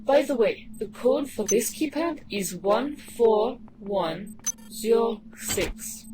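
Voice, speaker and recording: A woman playing a survivor in a sci-fi game, recounting events in measured, scripted narration. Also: fairly high pitch, animated. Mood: measured, grave. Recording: heavy background noise and ambience.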